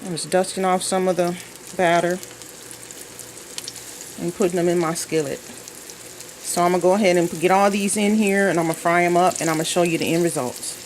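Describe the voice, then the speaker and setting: A woman's voice: lowish voice, fairly even intonation, brisk, pauses often, low-quality recording. Woman, middle-aged, from Minnesota, folksy home cook, chatty, home kitchen, faint background hiss. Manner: chatty, folksy, talks straight to viewers. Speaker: cooking vlogger. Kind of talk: casual cooking demonstration.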